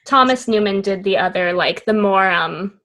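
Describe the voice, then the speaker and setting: A woman's voice: mid-range voice, normal speed, a bit bright. A young adult woman co-hosting a podcast, chatting casually with friends, laughing often and using many fillers. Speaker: podcast co-host. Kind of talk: casual chat.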